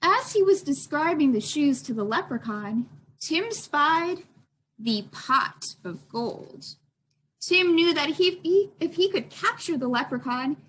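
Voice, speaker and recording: A woman's voice: high-pitched, fairly bright tone, low-quality recording. A woman librarian reading aloud for a children's storytime, expressively addressing young listeners.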